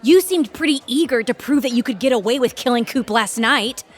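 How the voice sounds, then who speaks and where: A woman's voice: fairly high pitch, fairly quick, faint music behind, natural voice. A woman playing a blunt, weary law firm associate in an audio drama. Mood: accusing.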